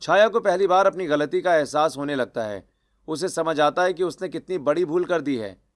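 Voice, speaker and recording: A man's voice: fairly high pitch, expressive, normal speed, no room sound, clean studio sound. A man narrating a scripted cartoon family story.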